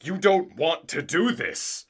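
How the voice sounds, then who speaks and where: A man playing a flustered, theatrical radio drama narrator, halting and dramatic as he pleads. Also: a little high, sing-song, a little hiss or hum. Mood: pleading.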